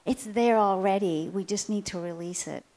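An older woman panelist speaks gently and reflectively into a handheld microphone. Her voice sits at an ordinary middle pitch. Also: moderate pace, background noise.

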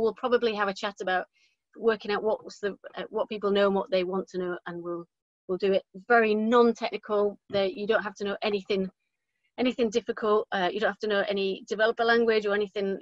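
A middle-aged woman informally introducing a speaker as host on a video call, halting and correcting herself as she goes. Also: mid-range voice, fairly even intonation, no room sound. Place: small indoor room, video call. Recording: dull, lo-fi video call audio.

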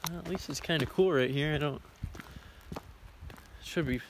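A man's voice: a little high, moderate pace. A man in his twenties talking casually and a little hesitantly as he walks, vlogging into a handheld phone outdoors. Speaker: hiking vlogger. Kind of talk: casual rambling vlog commentary while walking. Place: outdoors on a forest railroad trail. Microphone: phone camera at arm's length. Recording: noisy outdoor handheld recording.

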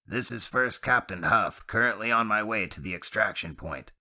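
A man's voice: lowish voice, moderate pace, pushed and bright, pitched above the performer's own voice, heavily acted. Man doing a clipped, commanding captain. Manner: clipped, authoritative. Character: commanding military male captain.

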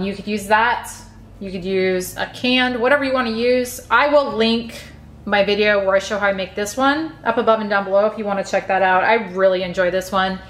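A woman's voice: a little high, fairly bright tone, reverberant. A woman hosting a home cooking channel, talking casually and chattily as she walks through a recipe step by step. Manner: chatty, friendly, easygoing explanations. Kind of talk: casual recipe walkthrough voice-over.

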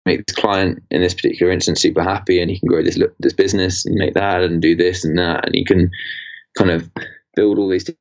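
Low-voiced young man, rambling. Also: level tone, fairly quick, lo-fi sound. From England. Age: 20s. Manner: rambling, many fillers and restarts. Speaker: podcast guest, entrepreneur. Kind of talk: casual interview chat.

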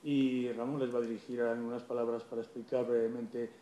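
Man, event host, formal introduction, mellow voice, echoey hall, faint hiss. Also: mid-range voice, flat delivery, quick pace. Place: stage in a hall. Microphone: podium microphone.

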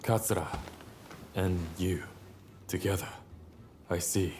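A man's voice: low voice, steady tone, slow, a little mellow, slight background noise. A man playing a serious young samurai comrade in a video game. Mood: terse, serious. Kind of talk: video game cutscene acting.

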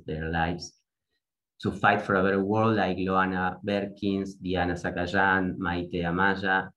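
A young adult from Argentina with an Argentine Spanish accent, giving an earnest, deliberate conference talk over a video call from a small home room, through an earphone mic. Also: low-pitched, fairly bright tone, low-quality recording. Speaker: activist speaker.

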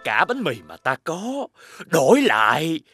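A man's voice: on the high side, wildly swooping pitch, measured pace, a bit bright, faint music behind, heavily acted. A man voicing a greedy, menacing fairy-tale cartoon villain, mocking and domineering. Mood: menacing, smug.

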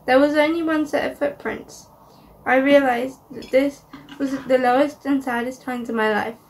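A woman's voice: high-pitched, steady tone, moderate pace, distant mic, a little hiss or hum. A teenage girl reciting a poem steadily into a webcam in a bedroom at home. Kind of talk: reading a poem.

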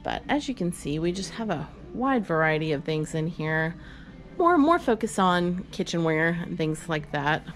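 A woman's voice: fairly low pitch, very animated, normal speed, soft background music. A woman giving a chatty, upbeat walk-through of her booths in a large indoor antique mall, recorded on the camera she carries. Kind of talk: walk-through tour vlog.

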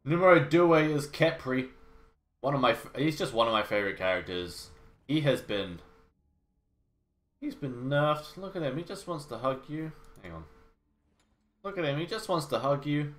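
A man's voice: on the high side, expressive, moderate pace, long pauses, fairly bright tone. A young man with a cold and a nasal, blocked-nose voice, giving casual, sarcastic game commentary at home. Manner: sarcastic, swears casually, trails off and restarts. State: sick with a cold. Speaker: gaming video host. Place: home room with wooden floors.

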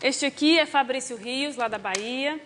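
Woman, thirties, Northeastern Brazilian accent, fairly high pitch, casual guest chat, noticeable background noise. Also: normal speed. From Paraíba, Brazil. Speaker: guest singer. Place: TV studio stage before an audience. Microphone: lapel mic. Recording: clear background noise on stage.

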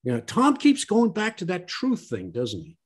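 A man's voice: high voice, huge pitch swings, unhurried, husky. A man co-hosting a podcast discussion, emphatic and given to repeating key phrases. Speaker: podcast co-host.

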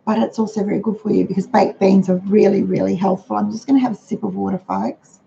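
An older Australian woman talks in a homely, chatty way, her voice hoarse and croaky. The tone is mellow and dark rather than bright. It comes through a dull, lo-fi webcam with a faint hiss underneath. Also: fairly even intonation, quick pace. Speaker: host of a frugal living livestream. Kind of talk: casual livestream talk. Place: home dining room. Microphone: webcam on a computer. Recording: dull low-fi webcam sound with faint noise.

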